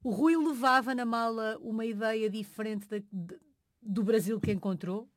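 A woman interviewer asking measured, leading questions. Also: on the high side, fairly even intonation, no room sound, clean studio sound.

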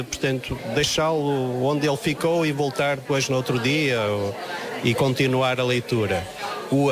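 Measured man among a crowd. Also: medium pitch. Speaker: book fair visitor.

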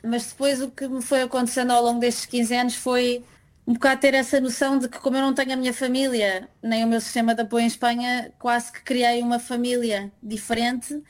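Reflective woman, fairly high voice. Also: level tone, brisk. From Portugal. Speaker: guest, emigrant living in Madrid. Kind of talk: conversational interview answers.

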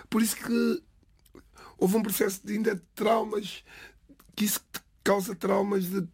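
A middle-aged man from the Lisbon area whose first language is Cape Verdean Creole, giving a halting, reflective personal account in an interview. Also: on the high side, vocal fry, darkish tone, husky, no room sound, clean studio sound. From Portugal, Lisbon area. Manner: halting, restarts phrases, pauses between words.

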